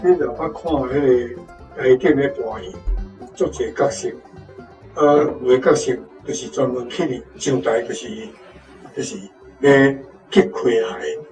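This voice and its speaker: A man with a Taiwanese accent explaining points as a language teacher, informally and with some halting. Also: mid-range voice, darkish tone, soft background music. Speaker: teacher giving on-site guidance. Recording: clear background noise, room ambience.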